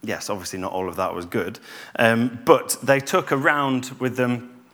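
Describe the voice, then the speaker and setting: A man, giving a calm, conversational church talk with fillers and restarts. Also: mid-range voice, normal speed. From Yorkshire, England. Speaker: church speaker.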